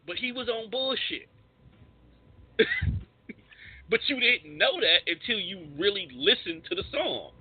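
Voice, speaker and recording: A man's voice: high-pitched, sing-song, unhurried, long pauses, breathy edge, no echo. A man co-hosting a radio show, debating casually in a slangy, argumentative way. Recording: tinny, phone-like internet radio audio.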